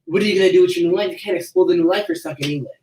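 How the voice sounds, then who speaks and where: A woman's voice: fairly low pitch, rapid-fire. Woman podcast cohost, far from mic w some noise, insistent n sweary, slangy casual chat. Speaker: podcast co-host. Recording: roomy echo, distant mic, background noise.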